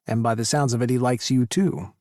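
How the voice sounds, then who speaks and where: A man voicing a calm, patient adult male friend who speaks gently. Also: mid-range voice, fairly quick, no echo, clean studio sound, natural voice.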